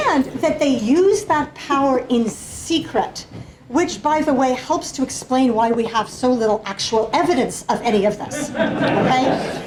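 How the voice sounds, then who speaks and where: A woman's voice: fairly high pitch, darkish tone, a little hiss or hum, low-quality recording. A woman speaking as a panelist, giving an academic lecture with slides in an explanatory way, often checking in with the audience.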